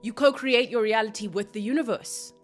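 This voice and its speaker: A woman's voice: on the high side, brisk. Woman, podcast host, earnest, reflective, spiritual monologue, faint music behind. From South Africa. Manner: earnest and reflective, musing aloud with soft asides.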